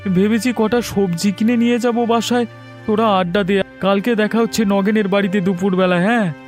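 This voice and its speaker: A man's voice: high voice, fairly even intonation, brisk, a little mellow, natural voice. A man voicing a poor, good-natured, naive village father in a cartoon folk tale. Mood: cheerful, eager. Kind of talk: Bengali cartoon fairy tale dialogue. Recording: music playing behind the voice.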